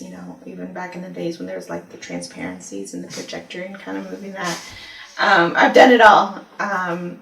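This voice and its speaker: A woman's voice: mid-range voice, vocal fry, fairly bright tone. Woman telling her story in an echoey room. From Ecuador. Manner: thoughtful, many "um" pauses, storytelling. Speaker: university discipline office director. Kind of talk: conversational testimony interview. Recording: echoey room, mic at a distance.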